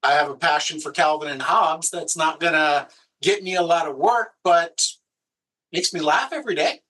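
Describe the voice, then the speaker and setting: Bright-voiced man giving easy advice. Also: a little high, normal speed, low-quality recording. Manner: easygoing, conversational, gives practical tips. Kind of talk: casual advice conversation.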